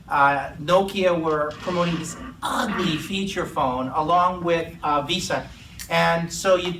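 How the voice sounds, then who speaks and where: A middle-aged man from Massachusetts with a Massachusetts accent, giving a lively, joking conference presentation to a live audience. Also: high voice. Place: conference room in an office. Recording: echoey room, distant mic, faint hum.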